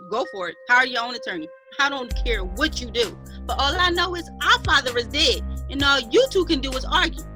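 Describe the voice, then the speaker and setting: A grieving, defiant younger sister acted by a woman in a family drama. She speaks high and forcefully, indignant and emotional, over faint music. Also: heavily acted. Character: Joy, grieving, defiant younger half-sister standing her ground. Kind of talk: online dramatic play reading.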